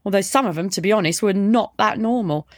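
A woman voicing a brash, sarcastic young punk woman in audiobook dialogue, deadpan and taunting. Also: mid-range voice, a little fast, no echo, studio quality, lightly acted.

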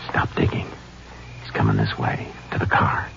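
An actor playing a calm, steady Texas Ranger in an old radio drama, speaking in a level, firm and probing way. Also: male voice, normal speed, close to an everyday voice. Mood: hushed. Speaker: lead and narrator of the drama. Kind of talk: 1950s radio drama acting. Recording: muffled old radio broadcast with hiss.

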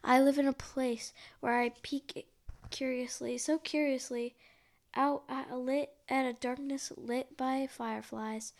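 A woman hosting a read-along, reading a picture book aloud in a halting way, repeating and stumbling over some words. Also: sits high, steady tone.

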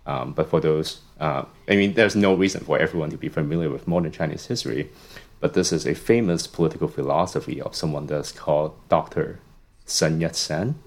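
A young man from Hong Kong, a psychology professor, speaking as a podcast guest and telling a long story in a relaxed way with many "um"s and "you know"s. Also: extremely low pitch. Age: young adult. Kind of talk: casual podcast conversation.

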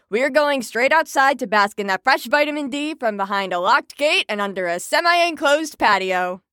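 An actress playing a brisk, sarcastic woman who runs a psychiatric facility in an audio drama. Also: sits high, fairly bright tone, dry recording, studio quality. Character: bossy, breezy woman running a psychiatric patient facility.

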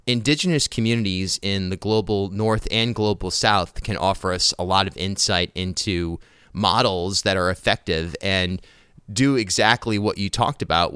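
A man hosting an interview podcast, speaking in an articulate, measured way with a clear, smooth voice. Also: low voice, fairly bright tone, no echo, studio quality. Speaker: podcast host.

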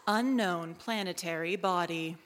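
A woman playing a calm, literal, gently caring ship AI in a live audio drama, speaking in a measured, formal way. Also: on the low side, close to an everyday voice. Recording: echoey live hall, reverberant.

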